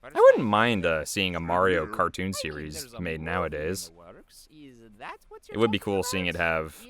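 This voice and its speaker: Young adult male creator, relaxed commentary track, low voice with lively inflection. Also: a little slow, a crowd in the background. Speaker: creator commenting on own animated video. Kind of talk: casual commentary.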